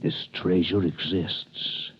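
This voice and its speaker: A dying old priest prisoner. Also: male voice, low-pitched, fairly even intonation, slow pace, slightly rough, full character voice. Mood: solemn. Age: older. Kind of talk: 1930s radio drama. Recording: tinny old radio broadcast.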